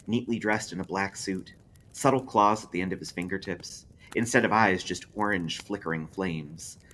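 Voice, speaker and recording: A man reading a story aloud as a librarian, expressive and dramatic, sometimes dropping into hushed asides. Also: low voice, a little hiss or hum.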